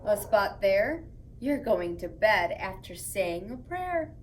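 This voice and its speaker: A woman hosting story time for young children, reading aloud and chatting to them in a playful, expressive way. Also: medium pitch, slow, a little hiss or hum.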